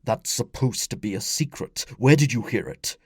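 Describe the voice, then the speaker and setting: A man voicing a Roman commander and father in an audiobook, gruff, affectionate and authoritative. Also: mid-range voice, moderate pace, no room sound, studio quality, natural voice. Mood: grumbling. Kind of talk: audiobook character dialogue.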